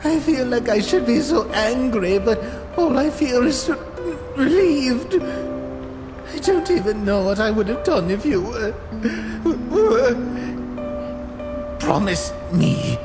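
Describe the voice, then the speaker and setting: Man as an expressive, high-voiced skeleton monster. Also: takes it slowly, pauses often, music in the background, exaggerated voice. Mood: tearful, relieved. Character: emotional skeleton monster brothers, protective and earnest. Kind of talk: dramatic comic dub acting.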